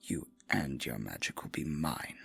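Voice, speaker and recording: A man playing a husky, possessive dark sorcerer. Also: very animated, soft background music, heavily acted. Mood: astonished, then gloating. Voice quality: low, husky, gravelly. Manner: slow, theatrical, breathy murmuring with mock-sweet endearments. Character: possessive male dark sorcerer, theatrical, silkily menacing captor. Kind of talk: ASMR fantasy audio roleplay.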